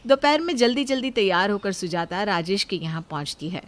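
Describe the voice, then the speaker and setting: A woman's voice: mid-range voice, animated, fairly quick. A woman reading scripted narration as the storyteller of a moral tale.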